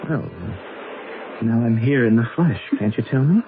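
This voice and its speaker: A man's voice: mid-range voice, normal speed, lightly acted. An actor playing a stubborn, lovesick young American man, hurt and insistent, in a 1970s radio drama. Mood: hurt, pleading. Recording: narrowband hissy old radio recording.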